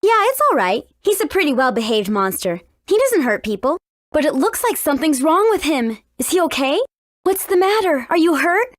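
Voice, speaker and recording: A woman playing a spirited, confident teenage girl in a video game, brisk and questioning. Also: sits high, sing-song, dry recording, studio quality. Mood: concerned. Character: spirited teenage heroine, impatient and sure of herself.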